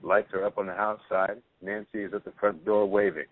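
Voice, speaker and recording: A man's voice: on the low side, level tone, a little fast. A man narrating a play script, reading out the stage directions. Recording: tinny phone-line audio.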